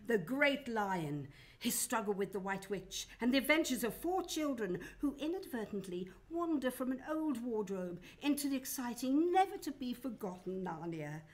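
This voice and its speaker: A woman announcing and narrating in a theatrical, formal old-time radio show style. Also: on the high side.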